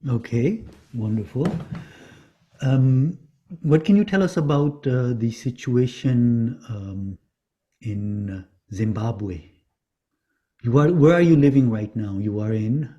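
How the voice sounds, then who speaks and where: Slow, dark-toned polite interviewer. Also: male voice, mid-range voice. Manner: polite, hesitant with fillers. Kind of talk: interview questions. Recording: dull lo-fi sound.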